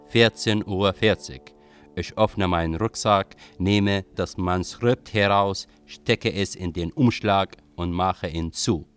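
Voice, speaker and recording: An actor playing a brooding young Iraqi exile and writer, narrating a literary radio drama in an introspective, deliberate way. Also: male voice, sits low, smooth, soft background music. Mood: matter-of-fact.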